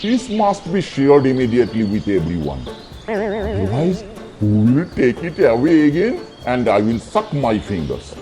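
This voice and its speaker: A man's voice: mid-range voice, huge pitch swings, unhurried, warm tone. A man voicing a greedy, easily outraged tiger uncle in a children's cartoon, theatrical and blustering. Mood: greedy, plotting. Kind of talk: children's cartoon character dialogue. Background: music behind the voice.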